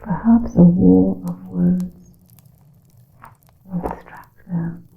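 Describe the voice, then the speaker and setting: Slow whispering woman, muffled and echoey. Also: huge pitch swings. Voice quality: breathy whispering mixed with soft low voice. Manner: slow, hushed, theatrical, archaic storybook phrasing. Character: grave witch counseling her coven in secret. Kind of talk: whispered ASMR roleplay monologue. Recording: muffled lo-fi, echoing room.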